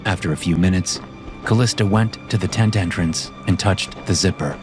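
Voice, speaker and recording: A man narrating a scripted horror story in a measured, even way. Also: low-pitched. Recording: music bed behind voice.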